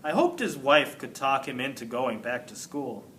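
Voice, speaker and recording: A man reading a children's book aloud as an expressive narrator, like a teacher to a class. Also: medium pitch, normal speed, a little hiss or hum.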